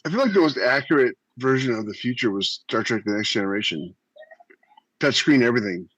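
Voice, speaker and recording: A man's voice: mid-range voice, expressive, fairly quick, fairly bright tone, husky, no room sound. A man co-hosting a podcast, telling things in casual conversation on a slightly dull, close recording. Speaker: podcast co-host. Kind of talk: casual storytelling chat.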